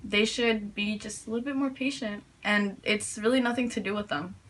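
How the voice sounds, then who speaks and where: A woman's voice: mid-range voice, steady tone, normal speed, fairly bright tone. A teenage girl from New York answering interview questions casually, hesitant, with many fillers and restarts. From New York, USA. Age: teens.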